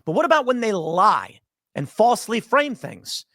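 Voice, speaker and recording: A man's voice: high voice, sing-song, normal speed, fairly bright tone, no echo, studio quality. A man from Chicago delivering an argumentative, emphatic political commentary monologue, often restarting his sentences.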